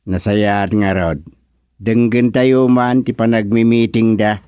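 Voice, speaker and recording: A man acting a patient but firm village official in a radio comedy drama, with a Filipino accent and drawn-out hums. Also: on the low side, fairly even intonation, darkish tone, clear, resonant tone, dry recording. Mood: firm, exasperated. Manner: hemming, drawn-out acknowledgements.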